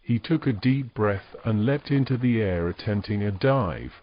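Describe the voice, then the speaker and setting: A man's voice: on the low side, animated, normal speed, lo-fi sound, a little hiss or hum. A man reading scripted narration as the storyteller of a fantasy audio drama.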